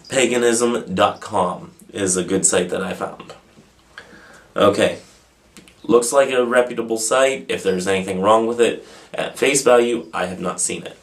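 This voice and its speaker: A man hosting an informal video, answering questions off the cuff in a rambling, self-deprecating way. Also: lowish voice. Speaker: host of a pagan question-and-answer video channel. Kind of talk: casual, unscripted answering of viewer questions.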